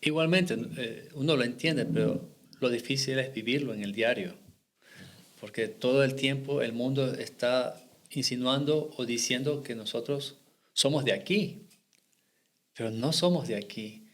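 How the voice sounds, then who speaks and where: A middle-aged man from Ecuador talks about his mission work as a podcast guest, in an earnest and reflective way. His voice sits at an ordinary middle pitch for a man, and he pauses to restart a phrase now and then. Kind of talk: podcast interview, faith testimony.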